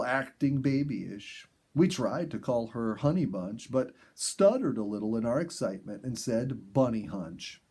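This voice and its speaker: A man reading a story aloud in an expressive, measured storybook narration style. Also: medium pitch. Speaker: audiobook reader and host.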